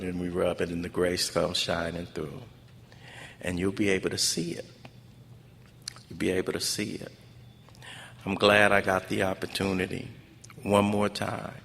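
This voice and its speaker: Convention speaker: American man, low gravelly voice, steady narrow range, preacher-like repetition, recorded in a reverberant hall. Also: unhurried, pauses often. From Los Angeles, United States. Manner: emphatic, repeats phrases for effect, colloquial grammar. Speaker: speaker at a recovery fellowship convention. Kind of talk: personal testimony speech, preacher-like. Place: large conference hall. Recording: echoey hall PA with background noise.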